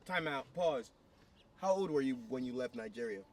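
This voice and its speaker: A man from Ghana runs a loose, loud interview, cursing freely and jumping in with questions. His voice sits in a middle range and sounds a bit far from the mic, with some noise behind him. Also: medium pitch, normal speed. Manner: loud, excitable, profane, keeps interrupting. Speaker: vlog interviewer. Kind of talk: casual street interview. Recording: distant mic with background noise.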